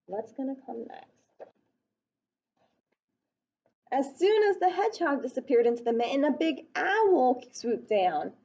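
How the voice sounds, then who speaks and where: A woman with a fairly high voice reads a picture book to little kids. Her delivery is expressive and aimed at children, with chatty questions along the way. The recording is slightly dull and lo-fi. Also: a little slow, pauses often. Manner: child-directed, expressive, asking the listeners questions. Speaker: storyteller reading aloud to young children.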